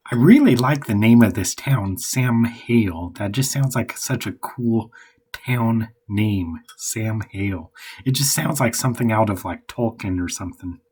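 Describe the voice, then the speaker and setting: A hoarse man who is losing his voice thinks aloud as he outlines a novel, hesitant and rambling. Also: fairly low pitch, darkish tone. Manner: hesitant and rambling, many pauses and restarts. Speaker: writer.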